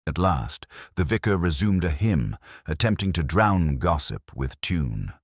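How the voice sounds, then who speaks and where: A man with an extremely low voice narrates a mystery story in a measured, literary way. His pitch moves in lively swings, and the sound is close and dry with no room on it. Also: dry recording.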